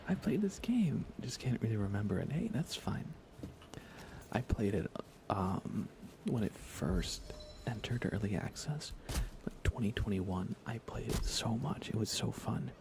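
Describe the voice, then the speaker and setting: A soft-spoken man chatting casually in an ASMR game livestream, often slipping into a whisper as he rambles along unhurriedly. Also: fairly low pitch, sing-song, measured pace, creaky, noisy background. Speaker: live streamer. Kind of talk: soft ASMR gaming stream, casual chat with viewers.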